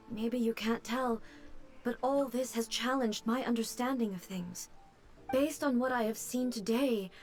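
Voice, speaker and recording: An actress playing a calm, regal female ruler who speaks in a measured, formal way. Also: fairly high pitch, close to an everyday voice.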